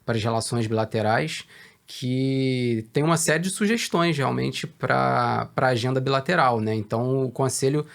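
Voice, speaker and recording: A man from Brazil with a gravelly, creaky voice at a middle pitch. He answers questions in a business talk, measured and hesitant, pausing on fillers as he builds his points. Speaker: guest, research director. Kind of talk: conversational business interview.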